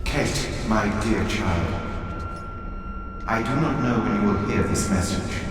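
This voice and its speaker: A man's voice: on the low side, noisy background, natural voice. A man playing a sinister, gloating dead villain who addresses his daughter in a grandiose, theatrical voice in a video game. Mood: mournful, tender. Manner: grandiose, menacing, deliberate. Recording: cavernous distant echo under loud music.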